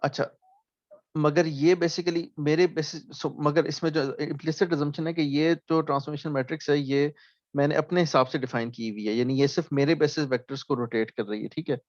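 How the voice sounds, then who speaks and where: A man's voice: fairly high pitch, fairly even intonation, no echo. Man explaining, dull dry recording. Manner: explains slowly, often asks "ठीक है?", restarts words. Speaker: lecturer. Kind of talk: recorded math lecture.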